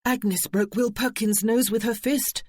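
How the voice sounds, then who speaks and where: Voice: medium pitch, steady tone, unhurried, a little mellow, no room sound, pitched above the performer's own voice, close to an everyday voice. A narrator voicing an anxious young lady companion, explaining in a breathless rush. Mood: upset.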